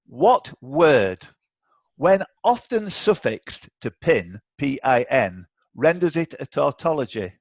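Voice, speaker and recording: A man's voice: fairly high pitch, big pitch swings, slow, vocal fry, no room sound. A man hosting a live online quiz off the cuff, with chatty, teasing banter. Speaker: quiz host. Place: indoors, online video call.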